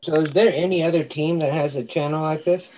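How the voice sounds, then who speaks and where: A man chatting casually on a livestream, relaxed and halting, laughing often between remarks. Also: on the high side, crowd noise, low-quality recording. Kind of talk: casual group chat on a livestream.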